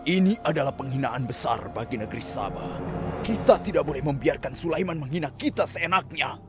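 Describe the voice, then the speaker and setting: A man playing a proud, hawkish royal adviser in an animated story, speaking formally and emphatically like an orator. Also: on the high side, brisk, natural voice. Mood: outraged. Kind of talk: dubbed animated religious story acting. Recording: loud music under the voice.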